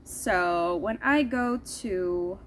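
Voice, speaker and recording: A woman's voice: medium pitch, extremely slow, a bit bright, slight background noise. A woman from the Netherlands, chatting casually and playfully to her viewers. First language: Dutch. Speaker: vlogger. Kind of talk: casual vlog chat to viewers. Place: outdoors in the heat.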